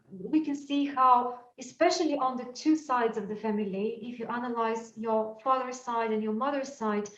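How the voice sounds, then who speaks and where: A woman from Bulgaria with a Bulgarian accent, teaching astrology in a thoughtful lecture and often pausing with fillers as she searches for words. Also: on the high side. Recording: echoey room, mic at a distance, dull sound.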